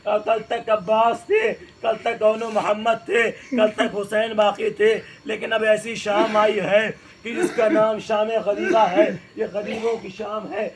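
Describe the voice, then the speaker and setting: A man delivering a mournful sermon recitation, speaking with deep emotion and addressing the gathering directly. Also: flat delivery, hardly any pauses, slight background noise. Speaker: preacher.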